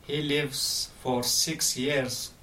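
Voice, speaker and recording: A middle-aged man from Afghanistan, a guest in a radio interview, telling his life story in halting full of pauses and fillers. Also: medium pitch, level tone, bright, forceful tone. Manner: halting non-native English with many pauses and fillers. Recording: faint background noise.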